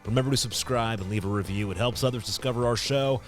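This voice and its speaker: An American man hosting a comedic podcast in a casual, joking and theatrically expressive way. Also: medium pitch, quick pace. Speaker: podcast host.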